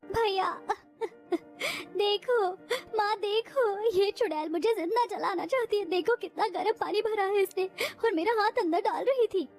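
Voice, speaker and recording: A woman's voice: very high-pitched, measured pace, darkish tone, soft background music. A woman voicing a scheming young woman in a cartoon who whines and fakes tears. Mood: fake crying. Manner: whiny, fake tearful. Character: scheming young woman, fake-crying sister.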